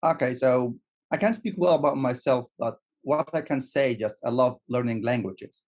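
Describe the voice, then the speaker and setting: Male guest speaking second-language English, halting, repeats words. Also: medium pitch, a little mellow. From Egypt. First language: Arabic. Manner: repeats words, restarts often. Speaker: guest, teacher trainer. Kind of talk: casual interview.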